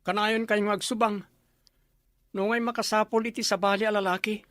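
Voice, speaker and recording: A man's voice: sits high, flat delivery, moderate pace, a bit bright, dry recording. A man with an Ilocano accent playing a worried, questioning young son in a radio drama.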